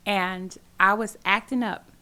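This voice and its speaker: A woman's voice: mid-range voice, steady tone, unhurried, bright, forceful tone. A woman in her forties, a community organizer talking as an interview guest in an earnest, emphatic way, often repeating phrases for weight. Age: 40s. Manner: earnest, emphatic storytelling with repetition. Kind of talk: casual interview talk.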